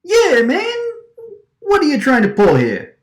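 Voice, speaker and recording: Woman doing a high-pitched, brash, indignant wiseguy. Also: huge pitch swings, unhurried, reverberant, heavily acted. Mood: bewildered, suspicious. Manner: agitated, stammering, repeating lines emphatically. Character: brash, indignant wiseguy man with a vacuum for a face. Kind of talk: radio drama line takes, gangster-movie wiseguy delivery.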